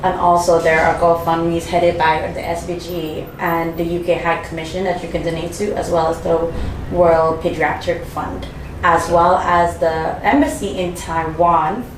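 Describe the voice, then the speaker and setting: A woman speaks as a guest in a casual group chat. Her voice is on the low side. She is recorded away from the mic with clear noise around her. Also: steady tone, normal speed. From Saint Vincent and the Grenadines. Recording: echoey room, distant mic, clear background noise.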